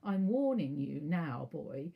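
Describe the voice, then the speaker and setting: A woman voicing a bullying middle-aged uncle in a children's storybook, grudging and threatening, with slow, emphatic warnings. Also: low voice, sing-song, gravelly, husky, muffled recording, heavily acted.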